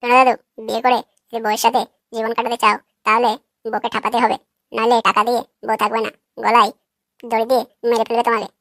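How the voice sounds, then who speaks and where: A woman's voice: fairly high pitch, flat delivery, fast, fairly bright tone, dry recording, clean studio sound. A woman playing a cheeky, sceptical young male heckler in a comic cartoon, taunting and crude. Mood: warning. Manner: taunting, foul-mouthed.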